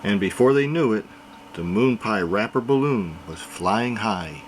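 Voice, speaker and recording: A man reading a children's picture book aloud in an expressive storytelling manner. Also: on the low side, measured pace, a little hiss or hum. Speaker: reader.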